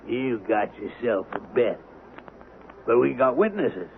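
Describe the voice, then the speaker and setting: An actor playing a brash, ruthless Old West gambler in a radio drama, gravelly, mocking and blustering with a folksy drawl. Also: male voice, medium pitch, very animated, slow pace, full character voice. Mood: wary, cocky. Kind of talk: 1970s radio drama acting. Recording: narrow-band vintage radio recording with faint hiss.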